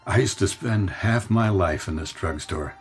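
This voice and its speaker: A man's voice: sits low, a little slow, slightly rough, soft background music. A man playing a weary, nostalgic middle-aged businessman who muses wistfully in a radio drama. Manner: wistful, musing.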